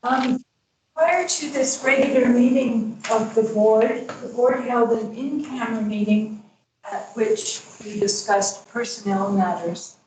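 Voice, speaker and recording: Slow-speaking woman chairing from afar. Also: a little high, a little mellow, slight background noise. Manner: slow, deliberate, with hesitations. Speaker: meeting chair. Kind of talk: formal meeting procedure. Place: school board meeting room. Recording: distant mic in an echoey meeting room.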